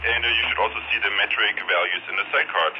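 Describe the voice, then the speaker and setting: A man's voice: fairly low pitch, fairly even intonation, quick pace, lo-fi sound. A man giving casual test commentary over a two-way radio, repeating his phrases like announcements. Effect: heard over a walkie-talkie radio. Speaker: product reviewer. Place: outdoors, transmitted by two-way radio.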